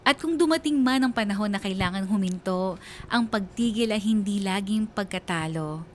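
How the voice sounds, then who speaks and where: A woman playing a reflective letter sender, narrating a scripted life story earnestly and with measured delivery in a Filipino accent. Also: medium pitch, lively intonation, a little hiss or hum, close to an everyday voice. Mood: resolute.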